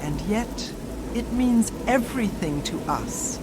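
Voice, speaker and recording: An actress playing a damned woman's soul who speaks gravely and reflectively about eternity. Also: mid-range voice, lively intonation, heavy background noise. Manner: measured, grave delivery. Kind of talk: game character dialogue. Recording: loud rain and thunder behind.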